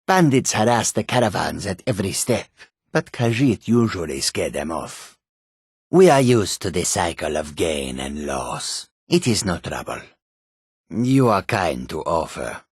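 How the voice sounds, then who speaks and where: Deep, husky, self-assured catfolk adventurer. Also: male voice, very animated, measured pace, no echo, clean studio sound, heavily acted. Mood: calm, courteous. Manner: self-assured, formal, speaks of himself in third person. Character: proud, courteous male catfolk mage and warrior. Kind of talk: video game character dialogue.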